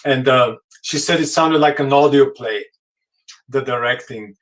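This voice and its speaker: A man who is a film director, hosting a live video call in a casual, halting way with many fillers and restarts. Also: medium pitch, recorded from a distance, low-quality recording. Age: adult.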